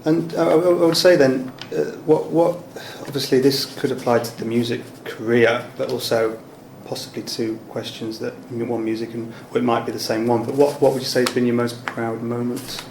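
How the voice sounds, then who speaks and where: Guy doing a casual interview, pretty flat delivery, roomy noisy recording. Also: medium pitch, moderate pace, hardly any pauses. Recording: echoey room with clear background noise.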